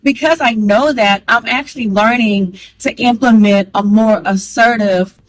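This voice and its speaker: Chatty fast-talking woman, dry close sound. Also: on the high side, no room sound, rough recording. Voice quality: strong voice. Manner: chatty, candid, calls listener girl; fast talker. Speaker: vlogger. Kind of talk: casual talk to camera.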